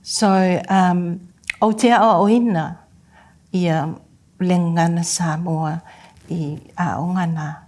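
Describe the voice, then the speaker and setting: Unhurried woman teacher, lowish voice, earnest and measured. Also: steady tone, a little mellow. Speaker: Samoan language teacher. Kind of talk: guest interview.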